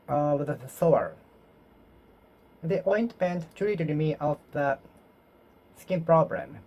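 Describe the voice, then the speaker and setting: Solo learner, Japanese native, slow and halting, drills each phrase over and over. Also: mid-range voice, mellow. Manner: repeats phrases, halting and self-correcting. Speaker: English learner. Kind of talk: solo speaking practice, talking to oneself.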